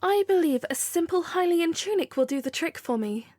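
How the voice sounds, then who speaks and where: A woman playing an earnest, gentle young princess with polite, hesitant phrasing in a fan audio drama. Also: very high-pitched, lively intonation, normal speed. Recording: music under the voice.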